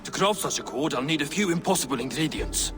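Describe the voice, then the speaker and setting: Crude gruff dwarf, echoing hall. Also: male voice, a little high, expressive, fast, fairly bright tone. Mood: gruff, irritable. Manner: blunt, salty, wry. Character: gruff, crude, sly male dwarf smith of magic. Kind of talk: video game cutscene acting. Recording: reverberant hall with music behind.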